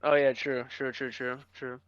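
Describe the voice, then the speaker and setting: A man's voice: mid-range voice, flat delivery, moderate pace, smooth, no echo, highs cut off. A young man co-hosting a podcast, chatting casually in a loose, blunt, slangy way. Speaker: podcast co-host. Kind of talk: casual chat.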